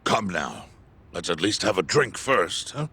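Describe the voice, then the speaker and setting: An actor playing a calm, paternal older crime boss in a video game, speaking with measured authority. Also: male voice, low-pitched, slightly rough, heavily acted. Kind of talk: video game cutscene acting.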